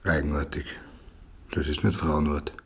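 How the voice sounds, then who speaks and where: An actor in a radio drama playing a sceptical, persistent police interrogator with an Austrian accent and a dry, measured, probing manner. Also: male voice, very deep voice, steady tone, breathy edge, heavily acted. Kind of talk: naturalistic radio drama acting.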